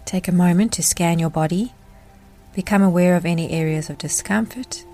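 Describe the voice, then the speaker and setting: A woman leads a guided meditation in a fairly low voice. Her phrasing is gentle and deliberate, with measured pauses between instructions. Faint music and rain sounds sit behind her.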